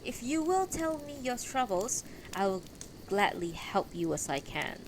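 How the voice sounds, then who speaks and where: A woman's voice: mid-range voice, big pitch swings, measured pace, slight background noise. A woman voicing a proud, indignant elderly knight of Arthur's court in a storybook reading, speaking in a courtly, formal way. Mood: restrained, formal.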